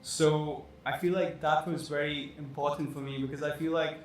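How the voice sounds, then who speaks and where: A man's voice: fairly high pitch, little pitch movement, moderate pace. Rambling young man in an echoey room. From Dubai. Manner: rambling, many fillers and "like"s. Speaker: fashion designer guest. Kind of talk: casual interview talk. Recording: echoey room with faint noise.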